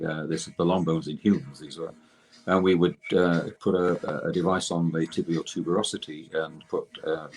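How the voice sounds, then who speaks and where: An older man explaining technical matters as an interview guest, in a halting way with repeated words. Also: sits low, a little mellow, husky, rough recording. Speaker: guest expert and researcher in electrotherapy.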